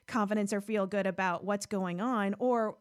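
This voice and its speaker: A woman's voice: medium pitch, steady tone, smooth. A woman in her thirties hosting a podcast, talking candidly and chattily about her own questions. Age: 30s. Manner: candid, chatty, quick to laugh. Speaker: podcast host. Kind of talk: casual podcast conversation.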